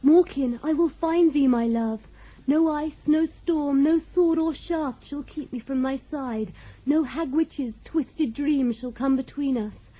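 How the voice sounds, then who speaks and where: A woman's voice: high voice, slow, warm tone, low-quality recording. An actress playing a devoted, fearless young woman in a fantasy audio drama, speaking fervent, archaic vows. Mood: yearning resolve. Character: devoted, fearless young woman vowing to find her love.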